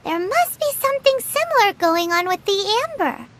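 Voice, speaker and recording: An actress voicing a tiny, cheerful, childlike fairy companion in a game, with a squeaky, bubbly, naive delivery. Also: female voice, big pitch swings, normal speed, a little hiss or hum, full character voice. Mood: curious, chatty. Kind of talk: cartoonish game voice acting. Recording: loud game music behind.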